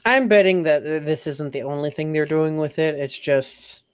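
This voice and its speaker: A man's voice: on the high side, normal speed, smooth, no echo. A man co-hosting a podcast in casual chat, recorded with a slightly dull, dry sound. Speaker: podcast co-host.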